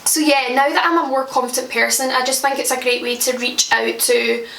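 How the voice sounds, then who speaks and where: A woman's voice: high-pitched, fairly even intonation, fairly quick, gravelly, bright, forceful tone, reverberant, distant mic. A Scottish woman in her twenties chatting casually to camera as a makeup vlogger at home, joking about her own slips. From Scotland. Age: 20s. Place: at home, indoors.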